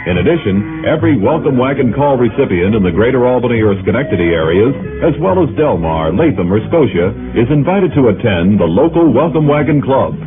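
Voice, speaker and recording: An American man reading a scripted announcement in the style of a 1960s radio announcer. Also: mid-range voice. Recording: tinny vintage radio with music.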